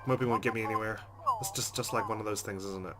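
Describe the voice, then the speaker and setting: A man's voice: on the low side, fairly even intonation, quick pace. Man reading aloud dramatically over game music. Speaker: let's play host. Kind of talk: reading visual novel narration aloud, with brief reactions.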